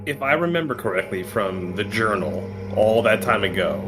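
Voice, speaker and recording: A man's voice: on the low side, lively intonation, moderate pace. Man thinking aloud over music. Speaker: player in a tabletop game. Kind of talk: casual chat. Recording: music playing behind the voice.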